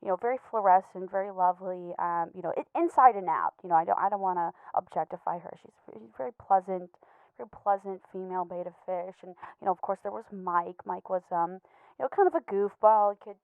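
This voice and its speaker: A woman playing an anxious, earnest pet betta fish in a comedy voicemail monologue, stammering and hesitant, gently judgmental and apologetic. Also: medium pitch, brisk, clean tone, no echo, close to an everyday voice. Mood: wistful. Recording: muffled, tinny phone-like voicemail sound.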